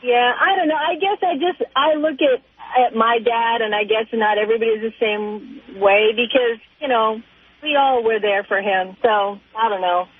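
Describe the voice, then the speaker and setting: A narrow, tinny telephone recording of a middle-aged woman. Her voice is high and husky, and she talks casually as a caller, hesitating and rambling as she goes. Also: normal speed, no echo. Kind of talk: casual conversational phone call. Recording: tinny narrow phone line.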